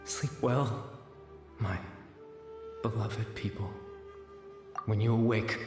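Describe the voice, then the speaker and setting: A man voicing a gentle, solemn, disembodied storyteller in a video game, reciting poetically in a soft, soothing and measured way through an echoing effect. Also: lowish voice, steady tone. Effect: echoing reverb. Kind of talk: poetic scripted game narration. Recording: echoing, long reverb. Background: music.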